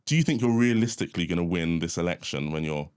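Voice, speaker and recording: A man's voice: sits low, lively intonation, quick pace, smooth. A man speaking as the host of an interview podcast, introducing and questioning a guest.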